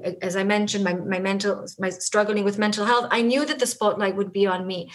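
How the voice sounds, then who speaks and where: A middle-aged Israeli-accented woman, a native Hebrew speaker, speaks as a podcast guest. She talks in an earnest, reflective way and often restarts mid-sentence. Her voice sits at an ordinary middle pitch. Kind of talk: conversational interview.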